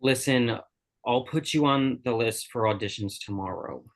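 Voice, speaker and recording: A woman's voice: rumbling low, moderate pace. An actress plays a driven female dancer with a short fuse. She is curt and scornful, with a sardonic edge, in a screenplay read-through. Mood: cool, dismissive.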